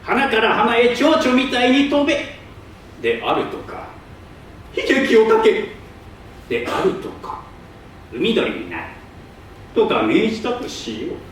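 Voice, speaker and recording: A man's voice: sits high, big pitch swings, measured pace, long pauses. An actor playing a pompous, imperious old king in a theatrical staged reading, using archaic old-man speech. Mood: lofty, reasoning. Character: pompous old king ruling a tiny asteroid. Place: public hall stage, distant microphone. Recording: echoey hall from far off, faint noise.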